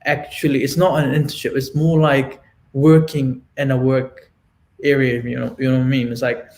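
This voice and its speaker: A man's voice: medium pitch, flat delivery, moderate pace, darkish tone. A man with a mid-range voice talks on a video call. He speaks earnestly, circling back over his phrases to stress a point. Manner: earnest, repeats phrases for emphasis. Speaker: interview guest, software developer. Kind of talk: casual video-call interview.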